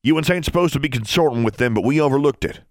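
A man voicing a stern, self-righteous backwoods teenage boy wizard in a storybook, loud and domineering. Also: medium pitch, very fast, dry recording, voice pushed up, natural voice. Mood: stern, accusing. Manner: loud, domineering, rustic dialect.

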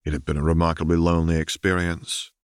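A man narrating a fan fiction audiobook in a steady, even storytelling manner. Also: sits low, quick pace, smooth, dry recording, clean studio sound.

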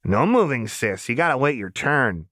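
A man's voice: mid-range voice, big pitch swings, moderate pace, fairly bright tone, dry recording, pitched above the performer's own voice. Guy doing a teasing unhinged young woman for an audiobook, playful menace, dry sound. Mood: playful, taunting. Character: manic, taunting young female terrorist with a playful edge. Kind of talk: audiobook character dialogue.

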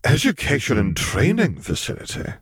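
A man's voice: mid-range voice, huge pitch swings, moderate pace, breathy edge, no room sound, pitched above the performer's own voice, exaggerated voice. Man acting a blustering senator, huffy, indignant, audiobook character, dry studio sound. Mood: incredulous. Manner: huffy, bellowing. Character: blustering, indignant male senator, easily outraged.

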